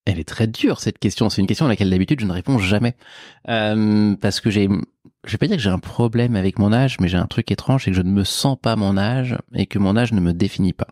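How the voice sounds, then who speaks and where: A man's voice: fairly low pitch, a little fast, a little mellow, no echo, clean studio sound. A man in his forties, a podcast guest talking casually and reflectively, with informal asides and emphatic repetition.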